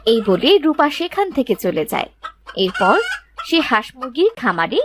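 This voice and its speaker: A woman reading scripted narration for a children's animated story. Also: fairly high pitch, normal speed, faint music behind.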